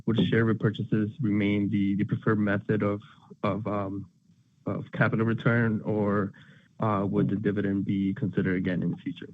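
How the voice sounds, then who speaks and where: A man asking a polite, slightly halting question as a financial analyst on an earnings call. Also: fairly low pitch, little pitch movement, no echo. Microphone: telephone. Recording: dry, narrow phone line.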